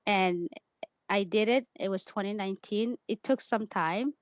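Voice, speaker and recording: A woman from Somalia, a native Somali speaker, recounting her own story in an interview. She talks in halting bursts, restarting her sentences and filling pauses. The sound is dry and a little muffled. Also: mid-range voice, no echo. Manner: hesitant, many fillers and restarts, laughs easily. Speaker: interview guest. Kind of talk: candid personal interview.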